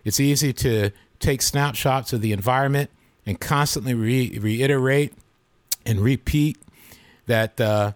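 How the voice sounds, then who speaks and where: A man's voice: mid-range voice, unhurried, dry recording. A man in his fifties hosting a podcast, speaking earnestly and reflectively in a devotional, preacher-like way. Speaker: podcast host.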